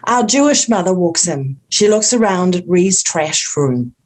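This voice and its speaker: A woman's voice: on the low side, lively intonation, unhurried, a little mellow. A woman reading the stage directions at a script table read.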